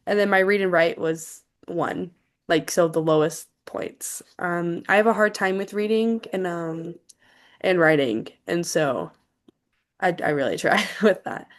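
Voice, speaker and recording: A woman's voice: lowish voice, measured pace. A woman in her twenties from Tennessee, a college student casually leading introductions on a video call, friendly and a bit hesitant with lots of ums.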